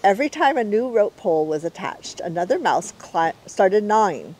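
Middle-aged woman, expressive storybook read-aloud. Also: mid-range voice, normal speed. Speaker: author reading aloud.

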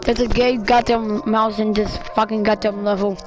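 A woman's voice: medium pitch, flat delivery, moderate pace, over music, poor audio. A woman giving casual let's play commentary in a brash, foul-mouthed, exasperated manner. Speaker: gamer commentating on own play.

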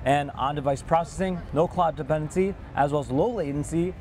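A man's voice: fairly high pitch, a little fast, a little mellow. Upbeat young man hosting an expo interview. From Pakistan. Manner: upbeat, enthusiastic questioning. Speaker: interviewer and channel host. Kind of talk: casual trade show interview. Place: busy indoor trade show hall. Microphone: handheld mic.

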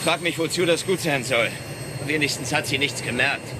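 A man's voice: a little high, moderate pace, lightly acted. An actor playing a grumbling, sarcastic man on a stakeout in a thriller audio drama. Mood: irritated, sarcastic. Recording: loud aircraft engine noise behind voice.

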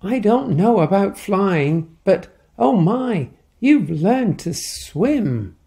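A woman voicing a loving, gentle mother bird in a storybook, speaking softly and coaxingly. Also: mid-range voice, sing-song, extremely slow, creaky, voice pushed up, exaggerated voice. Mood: delighted. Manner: soft and coaxing.

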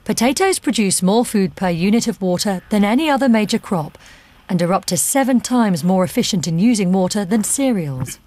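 A woman's voice: mid-range voice, animated, brisk, darkish tone. A woman reading scripted documentary narration as the narrator of the film. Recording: music under the narration. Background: background music.

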